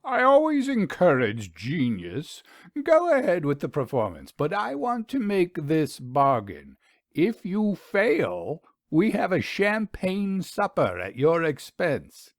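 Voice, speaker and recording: A man's voice: fairly high pitch, wildly swooping pitch, slow pace, no echo, clean studio sound, pitched above the performer's own voice, heavily acted. A man reading a story, voicing a jovial young man who teases his friend with mock gravity. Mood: mock solemn. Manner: mock-grave, bantering.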